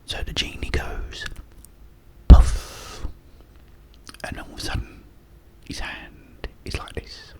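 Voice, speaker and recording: A man with a Cockney accent who whispers softly the whole time, chatting in a rambling way as an ASMR host and telling a joke. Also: unhurried. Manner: soft unvoiced whisper throughout, rambling and chatty.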